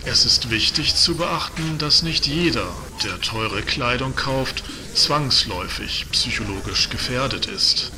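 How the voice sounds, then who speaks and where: An actor playing a polite department store announcer in an audio drama, reading formally with deadpan seriousness. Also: male voice, mid-range voice, a little slow, hardly any pauses, natural voice. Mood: deadpan courtesy. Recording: clear background noise and ambience.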